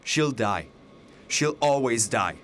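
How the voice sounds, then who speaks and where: An actor in a radio drama playing a bitter, zealous older alternate self who makes intense, persuasive, grandiose speeches. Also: male voice, medium pitch, expressive, slow pace, fairly bright tone, a little hiss or hum. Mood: desperate pleading.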